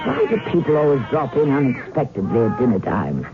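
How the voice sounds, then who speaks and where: An actress playing a mysterious, haughty old cat woman in a radio drama, with a theatrical, drawn-out, breathy delivery. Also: rumbling low, huge pitch swings, noisy background, full character voice. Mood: fussing, coaxing. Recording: muffled vintage radio, loud music behind.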